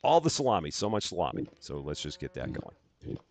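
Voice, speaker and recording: A man's voice: very deep voice, sing-song, a little fast, breathy edge. A middle-aged man from the Chicago area with a general American accent, hosting a live gaming stream and greeting viewers by name in upbeat, casual chat full of fillers and restarts. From Chicago area, USA.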